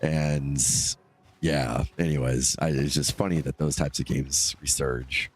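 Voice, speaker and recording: A man's voice: a bass voice, fairly even intonation, measured pace. A male streamer chatting casually with his viewers while he plays, stuffed up from a cold and rambling between topics. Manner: rambling, chatty, answers chat comments. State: stuffed-up nose. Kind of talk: casual live-stream chat while gaming.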